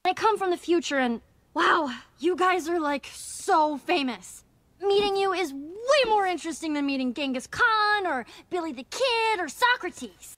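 A woman's voice: very high-pitched, expressive, measured pace, a bit bright, exaggerated voice. A woman playing a breezy teenage girl in a cartoon, casual and youthful in delivery. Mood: excited.

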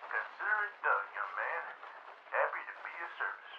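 Man acting a gravelly old cartoon uncle. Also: medium pitch, very animated, normal speed, slight background noise. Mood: helpful, eager. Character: helpful old cartoon uncle, male, eager and fond. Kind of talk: fan comic dub. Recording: dull radio-like sound, music behind.

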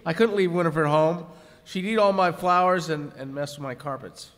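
Guy doing a fussy doting pet owner in a radio skit, higher voice, echoey hall. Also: moderate pace, fairly bright tone, natural voice.

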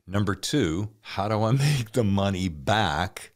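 A man's voice: on the low side, lively intonation, slow pace. A middle-aged man hosting a casual interview, speaking in a candid, colloquial way. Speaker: podcast host and interviewer.

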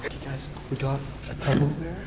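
A man, vlogging casually in an excitable, slangy, profane way. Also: mid-range voice, fairly quick, heavy background noise. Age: 20s. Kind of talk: casual vlog chat to camera and friends. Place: big store and parking lot at night. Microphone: action camera at arm's length.

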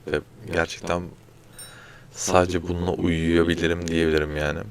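Low, creaky, monotone man doing ASMR. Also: moderate pace. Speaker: amateur ASMR video creator. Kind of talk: ASMR, casual talk to viewers.

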